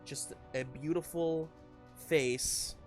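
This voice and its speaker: A man improvising narration as game master of a tabletop game, piecing scenes together haltingly. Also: fairly high pitch, steady tone, soft background music. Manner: halting, searching for words in pieces.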